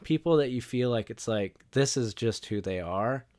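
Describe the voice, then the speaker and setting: A man hosting a podcast interview, asking questions in a relaxed, hesitant way with many fillers. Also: medium pitch, normal speed. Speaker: podcast host. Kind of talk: casual interview chat.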